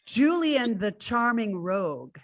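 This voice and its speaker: Slow-talking woman hosting a show. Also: a little high, sing-song. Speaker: host and theater company director. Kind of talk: informal spoken introduction.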